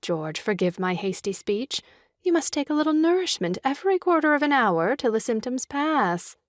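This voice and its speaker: An actress playing a brisk, earnest and slightly superior young woman in a theatrical audio farce. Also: high-pitched, sing-song, no echo, studio quality. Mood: solicitous. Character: brisk, opinionated young Englishwoman, sister of new father.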